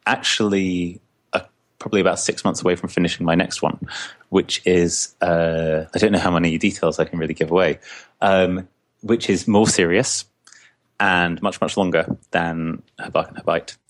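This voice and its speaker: A young man from Scotland, a comics artist answering interview questions in a casual, halting way, full of restarts and fillers. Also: low-pitched, fairly even intonation.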